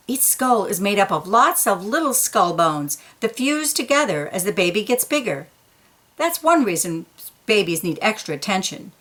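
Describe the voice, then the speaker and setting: A woman reading a children's picture book aloud in an expressive, deliberate way, with playful emphasis on key words. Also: medium pitch.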